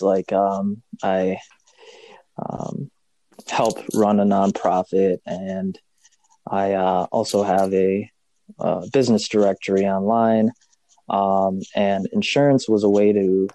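Interview guest: man from Kenya, lowish voice, unhurried and easygoing, dry close recording. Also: dark tone, no echo. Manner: easygoing, pausing to search for words. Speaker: podcast guest. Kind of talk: casual interview chat.

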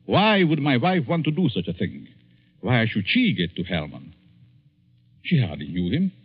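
An actor with a Hungarian accent playing a scheming psychology professor feigning innocence, in deliberate, theatrical 1940s radio style. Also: male voice, medium pitch, huge pitch swings, slightly rough, full character voice. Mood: feigned shock. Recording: muffled, narrow-band 1940s radio recording.

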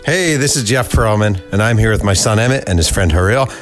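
A man's voice: on the low side, expressive, fairly quick, soft background music. A man hosting an interview, riffing playfully and joking with his guest. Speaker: podcast host. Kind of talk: casual interview chat.

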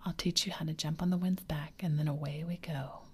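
A woman's voice: low-pitched, fairly even intonation, brisk, breathy edge. Woman whispering as Peter Pan, a coaxing boy. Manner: eager, coaxing. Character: boastful boy who never grows up.

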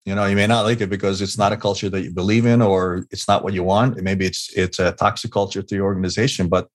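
Middle-aged man from Hungary, deep voice, dry close sound, earnest interview guest who circles back on his words. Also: fairly even intonation, quick pace, no echo. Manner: earnest, repeats words, frequent fillers. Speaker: guest, business author. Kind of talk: conversational interview storytelling.

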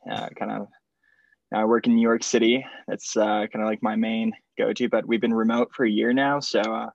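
Flat-voiced young man, native French speaker. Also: fairly low pitch, moderate pace, creaky, no room sound, lo-fi sound. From Switzerland. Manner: relaxed, rambling with many fillers. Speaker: podcast guest. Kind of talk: casual conversation.

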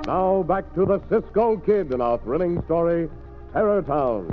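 A man's voice: fairly high pitch, lively intonation, measured pace, over music. Vintage radio announcer, man, muffled. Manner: dramatic, formal. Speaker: announcer and narrator. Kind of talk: 1940s-50s radio drama narration.

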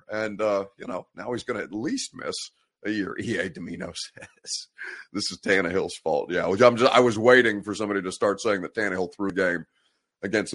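A man's voice: fairly high pitch, breathy edge. Congested, exasperated male sports talk host. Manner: exasperated, mocking, animated rants with sarcastic quoted voices. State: congested, stuffy-nosed. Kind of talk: live-streamed sports talk, reading viewer comments.

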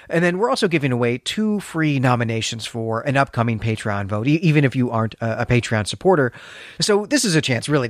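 A man's voice: mid-range voice, a bit bright, dry recording. Man hosting a podcast, dry close sound. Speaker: podcast co-host. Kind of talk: conversational podcast hosting and announcements.

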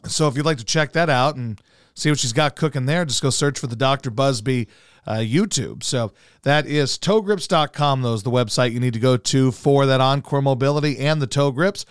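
A hoarse man hosting a casual talk show, rambling in a loose, profane way and doing live ad reads. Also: medium pitch, moderate pace, dry recording, clean studio sound. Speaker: radio host. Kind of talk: casual podcast chat and live ad read.

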